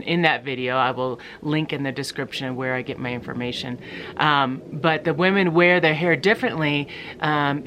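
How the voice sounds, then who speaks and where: A middle-aged woman from the United States talks loosely to her viewers. Her voice is bright and smooth, and she rambles with lots of ums and restarts. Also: sits low. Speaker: vlogger. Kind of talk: casual talk to camera.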